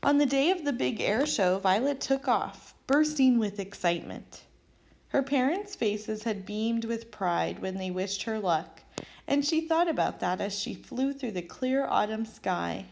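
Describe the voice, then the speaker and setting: A woman reading a children's picture book aloud as an expressive storyteller. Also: on the high side, vocal fry. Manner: expressive, animated storytelling with acted character lines. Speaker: storybook reader.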